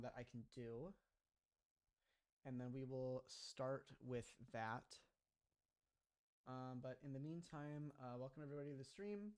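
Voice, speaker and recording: A man's voice: medium pitch, no room sound. A man in his mid-twenties, a streamer chatting casually and rambling with frequent laughs while reading his chat. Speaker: streamer and animator.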